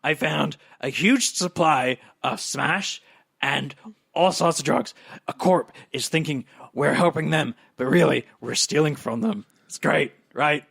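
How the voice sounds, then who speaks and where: Man playing frazzled, pushy radio guy, pitched up. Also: vocal fry, a bit bright, slightly rough, heavily acted. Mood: wheedling. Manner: rambling, wheedling fast talk. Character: excitable, paranoid media man Uncle Bob, pushy and frazzled.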